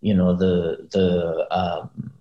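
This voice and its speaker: A man from Chile chatting informally as a guest, thinking aloud in a halting, slangy way. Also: low-pitched, little pitch movement, muffled recording. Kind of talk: casual chat. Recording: echoey room.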